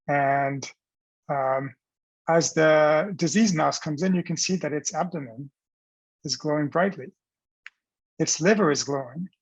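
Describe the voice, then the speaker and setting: A man presenting scientific research as explanatory narration over slides, pausing mid-phrase in a halting delivery. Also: fairly high pitch, breathy edge, no room sound, low-quality recording.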